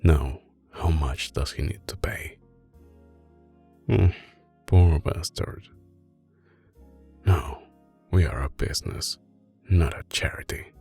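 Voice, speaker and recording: A man playing a soft-spoken mafia boss boyfriend in an ASMR roleplay, menacing yet doting, with an Italian accent and a deep, creaky, intimate delivery. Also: exaggerated voice. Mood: cold, commanding. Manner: slow, deliberate, controlled, intimate. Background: music.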